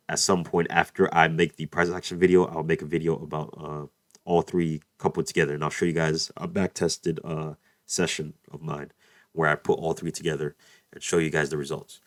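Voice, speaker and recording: A man's voice: rumbling low, dry recording, clean studio sound. A man teaching trading over a chart screen share, casual and repetitive, speaking at a desk mic, his voice a little off after a cold. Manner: repeats "beautiful" and "let's play this out", addresses "you guys". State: just over a cold, voice a bit off. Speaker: trading tutor.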